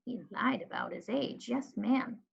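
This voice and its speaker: A woman reading a storybook, voicing an elderly lady librarian who tells tales fondly with drawn-out, emphatic phrasing. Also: on the high side, expressive, vocal fry, slightly rough, voice pushed up, heavily acted. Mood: solemn. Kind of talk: storybook read-aloud. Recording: dull lo-fi recording.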